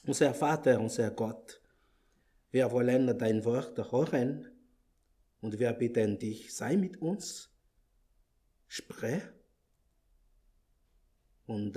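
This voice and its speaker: A visiting preacher, a man whose first language is Malagasy, speaks German with a clear French accent. He talks very slowly, pausing and filling gaps with hesitant sounds as he searches for words. His pitch sits at an ordinary middle level. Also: mellow. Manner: halting, many fillers and restarts, searches for words. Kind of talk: church greeting and sermon opening. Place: church hall.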